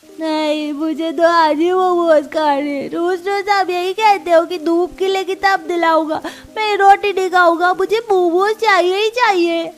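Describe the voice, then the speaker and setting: A woman's voice: very high voice, fairly even intonation, unhurried. A woman voicing a whiny, insistent young child in a cartoon story. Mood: stubborn. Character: whining, pleading young child begging for treats. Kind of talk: Hindi cartoon story acting.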